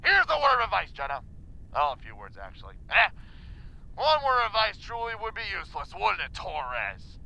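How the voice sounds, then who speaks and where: Blustering editor boss: gravelly, very animated, theatrical and rambling, comic radio play. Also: male voice, high voice, normal speed, heavily acted, low-quality recording. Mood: pompous. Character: blustery, melodramatic male newspaper editor boss. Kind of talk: comic audio drama acting.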